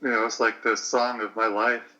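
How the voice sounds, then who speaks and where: A man in his late forties talking slowly through a personal reflection, hesitant and searching for words. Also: medium pitch, level tone, bright, forceful tone, muffled recording. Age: 40s.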